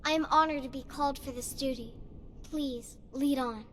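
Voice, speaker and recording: A woman's voice: high voice, fairly even intonation, moderate pace, slight background noise, close to an everyday voice. A woman playing an earnest, polite little girl with a reverent manner in a fantasy video game. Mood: honored, eager. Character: earnest, dutiful little village girl, chosen priestess.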